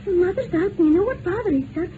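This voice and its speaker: Chirpy servant girl, extremely high voice, old radio drama, tinny sound. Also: animated, fast talker, noisy background. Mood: brisk. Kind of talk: period radio drama acting.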